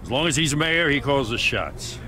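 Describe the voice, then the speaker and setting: An American actor playing a middle-aged, dutiful police officer in a video game, measured and matter-of-fact. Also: male voice, mid-range voice, very animated, bright, forceful tone, a little hiss or hum. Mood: matter-of-fact, grave. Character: plain-spoken, dutiful police officer serving a warrant. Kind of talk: dramatic game dialogue.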